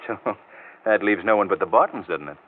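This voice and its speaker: A man playing a shrewd, composed gentleman sleuth with an urbane, dry manner in a 1940s radio drama. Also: fairly low pitch, normal speed, natural voice. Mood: dry, thoughtful. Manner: urbane, dryly amused. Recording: muffled old-time radio recording.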